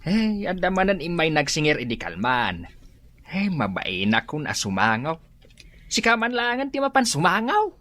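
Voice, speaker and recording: A woman's voice: sits low, wildly swooping pitch, moderate pace, fairly bright tone, heavily acted. A woman playing a grumbling elderly parent of grown daughters in an Ilocano radio comedy-drama. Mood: complaining.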